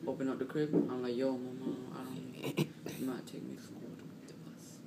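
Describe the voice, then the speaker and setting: A man telling a casual, animated story in slang, repeating himself and acting out what people said. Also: medium pitch, moderate pace. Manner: slangy, repetitive, acts out quotes. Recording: roomy, distant mic, clearly noisy.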